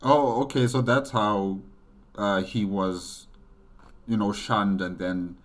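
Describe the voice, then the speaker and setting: A man giving casual reaction commentary as host, halting as he thinks aloud. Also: on the low side, steady tone, a little hiss or hum.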